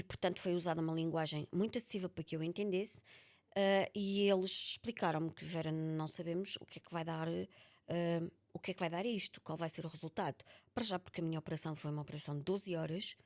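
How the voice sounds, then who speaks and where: A woman with a European Portuguese accent speaking candidly and reflectively as the guest in a personal interview, often repeating words for emphasis. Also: lowish voice. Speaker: podcast author being interviewed.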